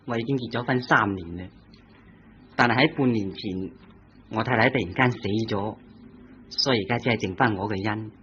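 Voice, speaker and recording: A man's voice: lowish voice, normal speed, long pauses, fairly bright tone, lightly acted. Casting: actor as a polite, flustered painter in his thirties, Hong Kong Cantonese, dull old radio sound with hiss. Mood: earnest. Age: 30s. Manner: courteous, hesitant, stammering. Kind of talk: vintage radio drama acting.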